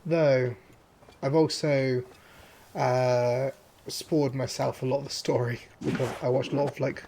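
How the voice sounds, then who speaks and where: A hoarse man streaming a game, chatting casually and rambling with many fillers and restarts. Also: medium pitch, steady tone, a little slow, dark tone. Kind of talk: casual gameplay commentary.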